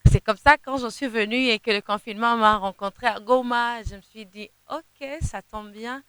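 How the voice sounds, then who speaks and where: A young woman, a gospel singer whose first language is Kinande, answering interview questions in a halting way, often repeating words as she searches for them. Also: fairly high pitch, fairly even intonation, clean tone, no echo. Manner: sings softly in places.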